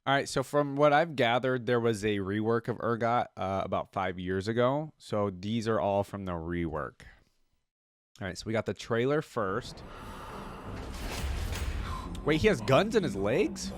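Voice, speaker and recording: A man giving casual reaction commentary, thinking aloud in an animated, exclamatory way. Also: on the low side, unhurried. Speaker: reaction video host. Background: music.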